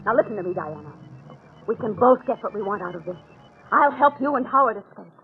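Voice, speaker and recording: An actress playing a cold, scheming, jealous wife in theatrical, commanding old-time radio horror style. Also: female voice, animated, brisk, slight background noise, natural voice. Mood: cold, menacing. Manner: theatrical, commanding, swinging from hushed menace to shrill. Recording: tinny old radio recording with music.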